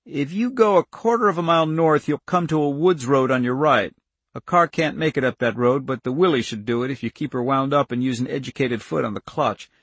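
A man's voice: mid-range voice, fairly quick, a bit bright, husky, no room sound. A man voicing a wounded older farmer in an audiobook story, plainspoken, stern and stubborn, with folksy country talk. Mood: instructing. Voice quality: breathless.

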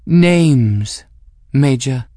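A male narrator plays an imperious woman in an audiobook story. The voice is lifted in pitch and sharp-tongued, clipped and commanding, with intense impatience. The recording is dry and close. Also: animated, very slow, dry recording. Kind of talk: audiobook character acting.

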